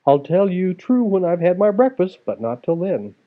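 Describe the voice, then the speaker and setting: A man's voice: high-pitched, very animated, normal speed, phone-quality sound, voice pushed up. A man voicing a bold, cheeky, hungry storybook boy with a brash manner. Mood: teasing.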